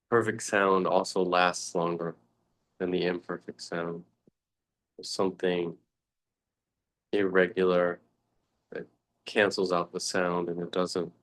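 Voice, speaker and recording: A man giving a guided meditation talk as a teacher, speaking contemplatively in short phrases with long pauses between them. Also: sits low, fairly bright tone.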